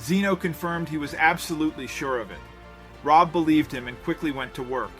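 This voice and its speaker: Male narrator, lighter register, for suspenseful scripted storytelling; measured delivery over a soft music bed. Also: on the high side. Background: background music.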